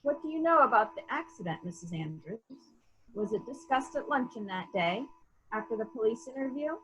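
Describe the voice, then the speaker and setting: Woman acting as polite probing detective, high voice, lively intonation, gentle questioning, some background noise. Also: a little fast, close to an everyday voice, low-quality recording. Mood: polite, insistent. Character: patient, probing, polite investigator of a death. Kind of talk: dramatic reading of murder mystery.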